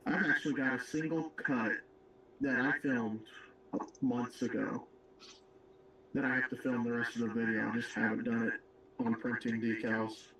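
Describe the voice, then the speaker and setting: A man's voice: mid-range voice, fairly even intonation, moderate pace, recorded from a distance, background noise. A man on a livestream explaining details in a matter-of-fact way. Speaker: livestream co-host. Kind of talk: casual chat.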